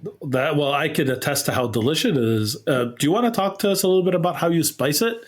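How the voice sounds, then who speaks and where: A man hosting a casual interview, friendly and quick to laugh. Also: fairly high pitch. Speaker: host.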